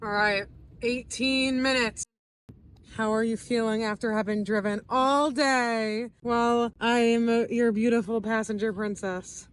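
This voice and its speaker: Upbeat young woman vlogging in the car, kinda high voice, says like a lot, faint road hum. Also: fairly even intonation, measured pace. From US East Coast. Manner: chatty, upbeat, lots of "like". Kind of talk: casual travel vlog narration. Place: inside a car on a road trip.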